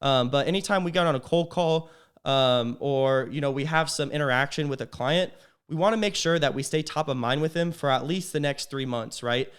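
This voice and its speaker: Casual young man, slightly raspy from a cold. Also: fairly high pitch, moderate pace, fairly bright tone. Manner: loose and conversational, tags sentences with "right?". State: a little sick, slightly raspy. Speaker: agency owner teaching business sales. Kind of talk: casual talking-head business tutorial.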